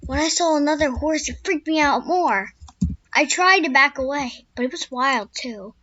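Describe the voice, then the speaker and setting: A woman voicing a young wild male horse, a skittish loner, telling his own story in first person with a squeaky voice. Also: normal speed, bright, forceful tone, no echo, highs cut off. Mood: wistful. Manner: first-person storytelling with restarts, turning frantic when scared. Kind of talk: amateur spoken story.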